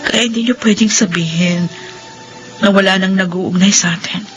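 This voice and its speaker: A woman's voice: medium pitch, moderate pace, lightly acted. An actress playing a widowed young mother in a radio drama, shaky and tearful, with a stammer. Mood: pleading. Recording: radio drama with faint music.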